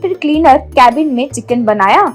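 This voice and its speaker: A woman's voice: sits high, normal speed, soft background music. A woman storyteller delivering scripted narration of a story.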